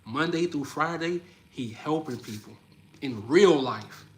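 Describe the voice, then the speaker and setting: A man in his mid-thirties hosts a livestream, talking in a loose, energetic, chatty way. His voice sits a little higher than most men's. He keeps calling his listeners "bro.". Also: measured pace. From Louisiana. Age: 30s. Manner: energetic, loose and chatty, often says "bro", laughs between thoughts. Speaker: livestream host. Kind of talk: casual livestream chat, reacting to comments.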